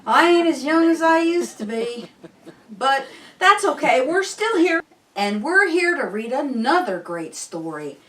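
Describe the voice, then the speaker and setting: An older woman hosting a children's story time, reading aloud in an expressive, playful, folksy way with drawn-out words. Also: high voice. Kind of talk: animated children's storybook narration.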